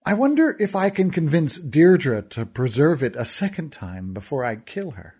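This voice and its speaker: A man's voice: on the high side, sing-song, moderate pace, darkish tone, slightly rough. Man doing a gloating, swooping villain. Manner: sneering, swooping, sing-song taunts. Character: cruel mocking villainous man, theatrical and gloating.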